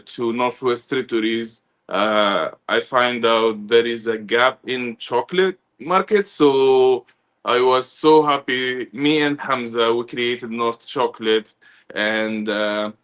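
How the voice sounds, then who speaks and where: A chocolatier from Tunisia, a man, introducing himself in a halting way, with many fillers. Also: medium pitch, a bit bright. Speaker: chocolatier, guest. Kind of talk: casual live-stream introduction.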